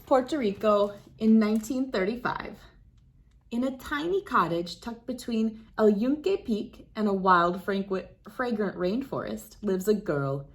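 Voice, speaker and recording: A woman hosting a read-aloud, narrating for children with expressive, deliberate phrasing. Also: medium pitch.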